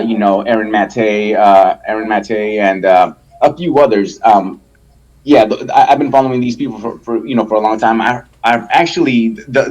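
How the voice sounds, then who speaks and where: A man from El Salvador, a guest in a casual interview, talking haltingly with many restarts and fillers and swearing freely. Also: fairly low pitch, darkish tone, dull audio. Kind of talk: casual conversation.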